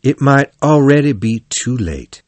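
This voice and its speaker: A man's voice: mid-range voice, expressive, measured pace, a little mellow, no echo. Man putting on a feeble, self-pitying storybook toad. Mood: plaintive, feigning illness. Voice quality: weak, faint. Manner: plaintive, martyr-like, drawn out. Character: self-pitying, melodramatic male toad feigning sickness. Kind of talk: animal storybook character voice.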